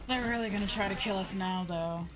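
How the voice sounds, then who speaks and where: A woman's voice: medium pitch, fairly quick, poor audio. A woman streaming a video game with casual live commentary, playful, gushing and teasing. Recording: game music behind.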